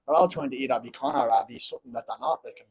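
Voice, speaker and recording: A man's voice: medium pitch, racing, husky. A man in his twenties with a Dublin Irish accent, talking bluntly and heatedly at a post-fight press conference, rambling and often asking "you know what I mean". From Ireland. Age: 20s.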